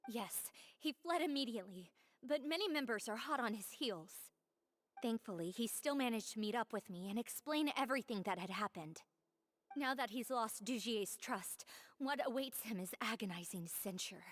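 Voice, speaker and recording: A woman's voice: fairly high pitch, animated, normal speed. An actress playing a frightened young woman who pleads anxiously but stays formally polite.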